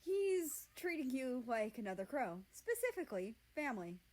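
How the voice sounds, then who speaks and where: A woman voicing a friendly, considerate male healer in a fantasy storybook, explaining things gently. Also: high-pitched, big pitch swings, moderate pace, voice pushed up. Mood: reassuring.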